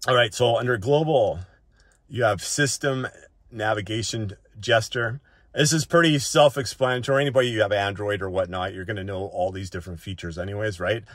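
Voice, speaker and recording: Man, low voice, casual tech reviewer, informal unscripted walk-through, close dry sound. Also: a little fast, fairly bright tone, dry recording. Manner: informal, often ends points with a checking "right?".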